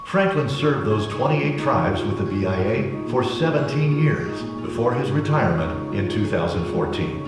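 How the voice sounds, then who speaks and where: A man's voice: mid-range voice, animated, normal speed, hardly any pauses, recorded from a distance. A man narrating a scripted tribute video in a formal, announcing manner. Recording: video played in an echoey hall over music.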